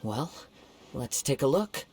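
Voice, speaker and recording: A man voicing a calm, perceptive young swordsman in a video game, speaking in a measured, formal way. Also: medium pitch. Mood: steady, grave. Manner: measured, formal, poetic phrasing. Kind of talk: English video game voice acting.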